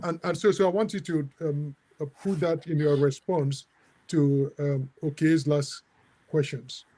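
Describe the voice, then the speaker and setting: A man moderating a book discussion in an academic way, halting and repeating words as he builds his point. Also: fairly high pitch, steady tone, mellow.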